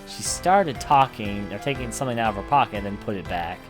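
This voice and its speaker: A man with a fairly low voice narrates a visual novel for a let's play. His delivery is very lively in pitch, like a fan reading aloud and commenting as he goes. Music plays clearly behind him. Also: brisk. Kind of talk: animated read-aloud narration with off-the-cuff commentary.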